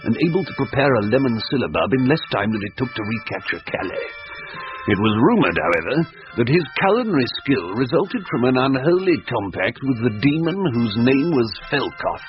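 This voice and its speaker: An actor playing a pompous gentleman adventurer who recounts his horror tale in florid, verbose mock-gothic style. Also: mid-range voice, big pitch swings, a little fast, natural voice. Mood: grave, florid. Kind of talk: comic radio horror narration. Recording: muffled radio recording with music behind.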